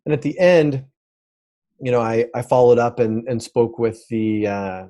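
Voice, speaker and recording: A man's voice: mid-range voice, measured pace, mellow, muffled recording. Casual man hosting a podcast. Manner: casual storytelling, affirming with short backchannels. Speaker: podcast host. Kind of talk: conversational interview.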